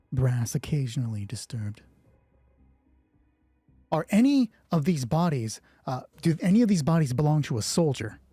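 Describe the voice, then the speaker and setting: A man narrating a solo tabletop roleplay as its host, moving between hushed dramatic storytelling and chatty explanations of the dice. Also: medium pitch, slightly rough, no room sound.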